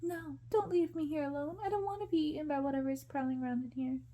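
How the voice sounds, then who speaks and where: A woman voicing a timid, adoring young noblewoman in a storybook, with a gentle, coy manner. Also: high-pitched, fairly even intonation, quick pace, pitched above the performer's own voice, lightly acted. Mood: frightened.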